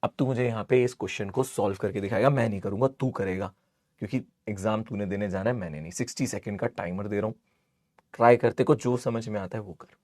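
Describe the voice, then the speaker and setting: Hoarse, low-voiced man teaching maths. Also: fast, darkish tone, no echo, clean studio sound. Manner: teasing, scolding, repeats phrases for emphasis. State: hoarse, sore throat. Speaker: maths teacher of an online class. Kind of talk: informal live online lesson.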